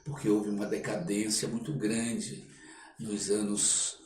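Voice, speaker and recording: Slow, halting older man in a roomy space. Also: on the low side, little pitch movement, a little mellow. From Belém, Pará, Brazil. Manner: halting, searching for words, frequent check-in tags. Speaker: podcast guest, theatre artist. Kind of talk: reminiscing in a casual interview. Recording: roomy echo, off-mic, faint background noise.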